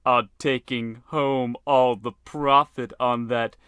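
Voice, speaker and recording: A sly radio-play villain acted by a man, an innkeeper who draws his words out very slowly in a clean, resonant voice. He is courtly and oily, a theatrical schemer out to recruit his victims. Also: mid-range voice, fairly even intonation, fairly bright tone, clear, resonant tone, dry recording, clean studio sound. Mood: sly coaxing. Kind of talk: parody of old radio drama.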